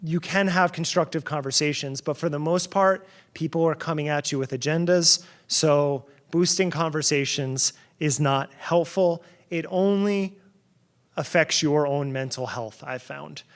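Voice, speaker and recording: Middle-aged man from New Jersey, conference keynote, loose and sarcastic, restarts often, a touch high-pitched. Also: unhurried. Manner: conversational, sarcastic asides, frequent fillers and restarts. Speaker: keynote speaker.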